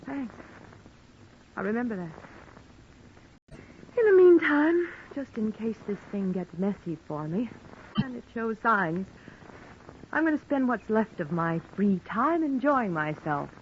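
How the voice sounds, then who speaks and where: Flirtatious American noir widow, dull recording. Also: female voice, a little high, big pitch swings, slow pace, pauses often. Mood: teasing. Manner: coy, teasing, breezy. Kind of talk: old-time radio noir acting. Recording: dull, noisy vintage radio recording.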